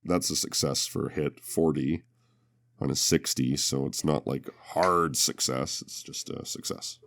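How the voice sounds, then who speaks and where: Deep-voiced, dry male tabletop player. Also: steady tone, a little slow, vocal fry, fairly bright tone. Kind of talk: casual game table talk.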